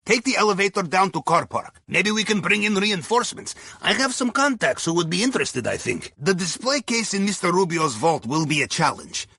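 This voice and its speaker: Russian sub helmsman for a game, upbeat heist planner, husky but high voice, dry humor. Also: sing-song, a little fast, few pauses, pushed and bright, no echo, heavily acted. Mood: wry, advising. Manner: chummy, dryly humorous, clipped broken English. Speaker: video game character, submarine helmsman. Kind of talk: scripted game dialogue, mission briefing.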